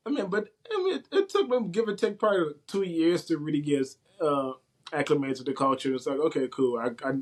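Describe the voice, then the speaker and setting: A young man from West Africa who later moved to the US, talking casually as a podcast guest in an informal, rambling way that often doubles back on itself. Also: sits high. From West Africa (Liberia), later the US from age eleven. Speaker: podcast guest, IT systems administrator. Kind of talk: casual interview chat.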